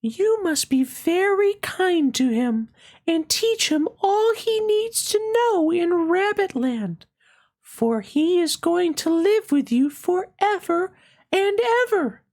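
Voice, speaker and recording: A gentle nursery fairy from a children's storybook. The voice floats very high, far above ordinary talk. She speaks slowly and soothingly, with a caring, kindly manner. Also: animated, full character voice. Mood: kindly, encouraging.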